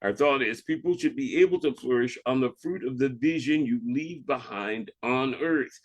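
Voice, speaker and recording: A man reads in the manner of a preacher sharing a devotional. His voice sits at an average pitch, and he delivers scripture and reflection deliberately, giving phrases measured weight. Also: low-quality recording. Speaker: reverend reading a devotional. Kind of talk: devotional reading, sermon-like.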